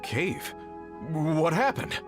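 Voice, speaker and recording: A man's voice: on the high side, big pitch swings, very slow, over music, exaggerated voice. A man playing a polite, grateful boss of a mining crew in a video game, earnest and obliging. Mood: confused.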